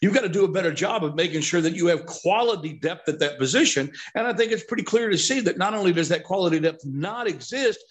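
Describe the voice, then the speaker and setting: A man's voice: high-pitched, lively intonation, fast talker, hardly any pauses. A middle-aged man talking as a sports pundit on a podcast, emphatic and opinionated, often posing rhetorical questions.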